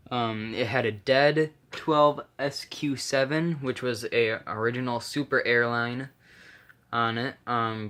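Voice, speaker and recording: A man's voice: fairly low pitch, a bit bright. A man giving a casual, unscripted hands-on walkthrough, hesitant and rambling, often correcting himself. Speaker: hobbyist vlogger. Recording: noisy handheld camera recording, clicks.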